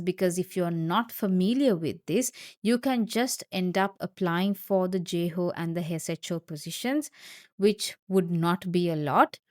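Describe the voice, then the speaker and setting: A woman from Sri Lanka, a doctor, patiently explains job terms in an informal video, repeating key points and speaking directly to viewers. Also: on the low side. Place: Queensland, Australia.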